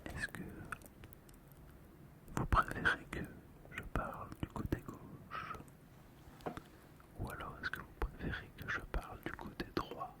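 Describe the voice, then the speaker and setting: Casting: ASMR host, breathy whisper throughout, unhurried and soothing, close-mic narration. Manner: slow, gentle, soothing delivery. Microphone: close ASMR microphone, monitored on headphones.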